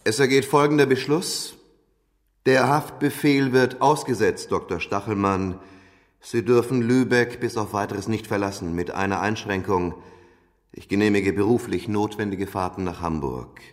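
An actor playing a stern judge in a radio play, reading a ruling in a formal, measured, authoritative manner. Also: male voice, lowish voice, close to an everyday voice. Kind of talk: radio play courtroom pronouncement. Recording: long reverberant hall.

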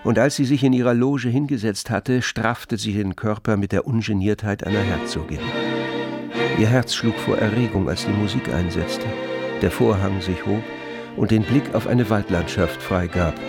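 A man's voice: fairly low pitch, normal speed, close to an everyday voice. A man acting as the narrator of a literary radio play, telling a nineteenth-century story. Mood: measured narrating. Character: literary narrator of a 19th-century French novel. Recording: music under the voice. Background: music.

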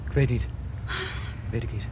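A man's voice: normal speed, muffled recording, background noise, lightly acted. A man playing a young student in a Dutch radio play, a loyal but doubting friend who speaks hesitantly and repeats himself. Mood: hesitant, doubtful.

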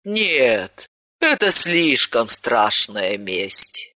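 A woman's voice: medium pitch, very animated, a little slow, pushed and bright, dry recording, clean studio sound. A woman voicing a wry, self-assured woman who talks in a jaunty, joking way.

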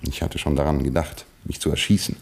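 A man playing an absent-minded British lord in a radio play, speaking formally and with dry, resigned understatement in a gravelly voice. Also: extremely low pitch, fairly even intonation, fairly quick, slight background noise. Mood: stately, composed. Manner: formal, hesitant, stately, dryly understated. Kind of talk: German radio play acting.

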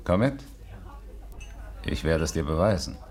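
An actor playing a cocky, pushy small-time gangster in a radio play, gruff and bossy. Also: male voice, very deep voice, lively intonation, unhurried, darkish tone. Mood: bossy, insistent. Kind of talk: 1950s German radio play acting.

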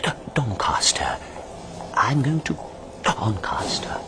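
An actor playing a timid, furtive, shabby man in a radio drama, speaking haltingly in a breathy near-whisper. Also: mid-range voice, sing-song.

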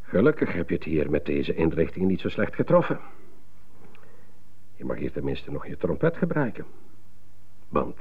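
A thin, narrow-band radio play recording of a fatherly male prison counsellor. His husky voice rises and falls freely as he muses, coaxes and gently patronises, tagging on little questions. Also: fairly low pitch, full character voice. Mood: coaxing. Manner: musing, coaxing, tag questions. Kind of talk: radio drama acting.

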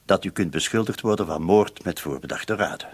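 A deep-voiced, husky police commissioner in a radio play. He is grave and formal, patient in questioning, and measures out his words as he probes. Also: brisk. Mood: stern, official. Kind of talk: radio drama acting.

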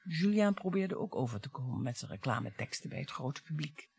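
Radio play: mocking middle-aged wife, bitter, theatrical, very animated. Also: female voice, very deep voice, fairly quick, vocal fry, breathy edge, no echo, exaggerated voice. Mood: sardonic. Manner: taunting, theatrical.

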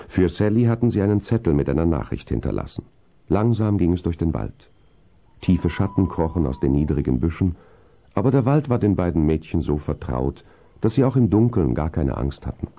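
A man narrating a children's radio play in an unhurried, atmospheric storytelling style, on a dull vinyl transfer. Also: deep voice, very animated, mellow.

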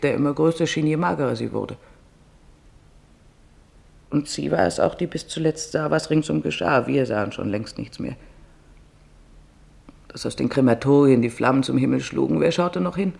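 A man voicing a weary, sorrowful female camp survivor in a radio-play monologue, recalling in a plain, subdued way with a creaky voice. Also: on the high side, normal speed, a little mellow. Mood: sorrowful, haunted.

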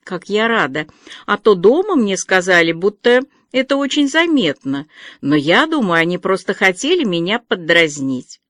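A woman's voice: mid-range voice, big pitch swings, moderate pace, a bit bright, no room sound, clean studio sound. A woman reading aloud voices a chatty, freckled teenage girl, eager and anxious, in breathless, earnest chatter.

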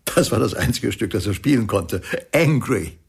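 A man's voice: lowish voice, animated, moderate pace, gravelly, husky, full character voice. An actor playing a drily amused club jazz musician who tells his memories matter-of-factly in a radio play.

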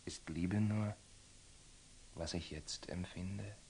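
An actor playing a proud, tormented young Knight Templar in a classical German radio drama, impulsive and declamatory, moving between muttering and outbursts. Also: male voice, low voice, fairly even intonation, takes it slowly, dark tone, a little hiss or hum, rough recording. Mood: troubled, musing. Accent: standard German.

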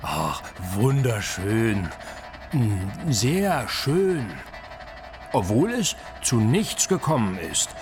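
A man's voice: on the low side, exaggerated voice. An actor playing a dreamy, infatuated man who muses and savours his words in a radio play. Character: dreamy, infatuated, musing male city stroller. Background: music.

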